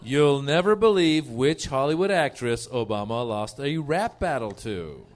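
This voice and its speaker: A man's voice: mid-range voice, expressive, a little slow. A man on a comedy panel, reading out mock headlines.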